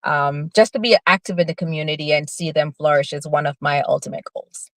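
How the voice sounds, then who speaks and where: A woman's voice: low-pitched, steady tone, brisk, dry recording. A woman in her forties from Jamaica, answering interview questions as a political candidate in an earnest, composed way.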